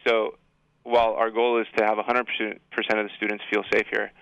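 A man's voice: lowish voice, flat delivery, fairly quick, fairly bright tone, clear, resonant tone, no room sound. A man speaking as a school administrator in a radio interview, direct and reassuring in how he answers. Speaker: high school assistant principal. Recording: slightly dull, band-limited line.

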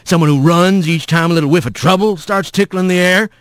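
A man voicing a huge, mocking but paternal spirit creature, with a soft rumbling voice and rustic folksy drawl. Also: a little fast, dry recording, clean studio sound. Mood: mocking, stern.

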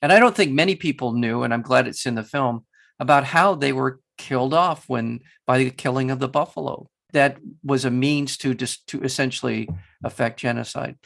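A man hosting an interview, speaking passionately and haltingly with many restarts. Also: mid-range voice, gravelly, fairly bright tone. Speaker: interview host. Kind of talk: interview conversation.

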